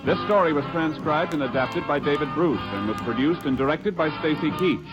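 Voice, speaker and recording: A man's voice: a little high, fairly quick, dull audio, loud music behind the voice. A man giving formal, declamatory announcements in a 1940s radio broadcast. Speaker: show announcer.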